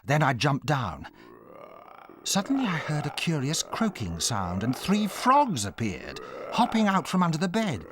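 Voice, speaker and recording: A man's voice: fairly high pitch, huge pitch swings, unhurried, noisy background. A man acting as the narrator of a children's audio drama, recalling his own adventure with dramatic storytelling. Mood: absorbed, suspenseful.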